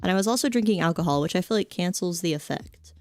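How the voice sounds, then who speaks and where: Rambling young woman streamer, low voice. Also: lively intonation, fast, a little mellow, dry recording, clean studio sound, soft background music. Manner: rambling, filler-heavy, offhand and joking. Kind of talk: casual chat stream, reading chat.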